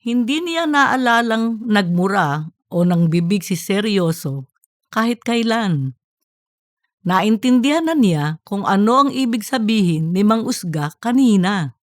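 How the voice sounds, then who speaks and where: A woman's voice: mid-range voice, big pitch swings, measured pace, no room sound, studio quality. A woman reading scripted narration for a comedic audiobook.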